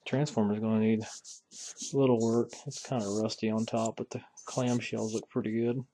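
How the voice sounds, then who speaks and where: A man's voice: lowish voice, level tone, normal speed, warm tone, rough recording. A man giving a casual, unscripted hobbyist walkthrough in a folksy way, musing aloud as he handles parts. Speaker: hobbyist radio restorer presenting a repair video.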